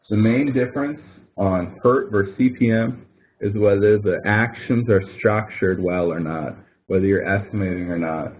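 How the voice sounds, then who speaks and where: A male instructor with a fairly low voice talks through material on a thin, narrow phone-style line. He sounds informal and conversational, coaching listeners step by step. Phrases often get repeated as he explains. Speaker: college course instructor leading a webinar. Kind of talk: informal instructional webinar walkthrough. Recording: thin phone-like webinar audio.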